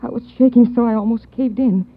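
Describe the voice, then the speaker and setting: An actress playing a shaken young woman recalling a crime she witnessed in a 1940s radio drama, halting and stammering. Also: fairly high pitch, steady tone, slight background noise, lightly acted. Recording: thin phone-like 1940s radio.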